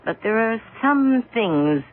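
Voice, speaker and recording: An actress playing a reserved, uneasy middle-aged housewife in a 1970s radio drama, polite but guarded and hesitant. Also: female voice, fairly high pitch, sing-song, slow pace, slight background noise. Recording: muffled, narrow-band vintage radio recording.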